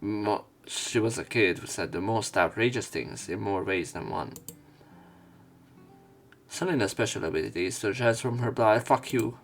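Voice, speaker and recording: Male let's player: slightly gravelly, mid-pitched, reads story text, breaks into offhand sweary commentary, music behind. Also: moderate pace. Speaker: let's play host. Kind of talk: reading game text aloud with casual commentary.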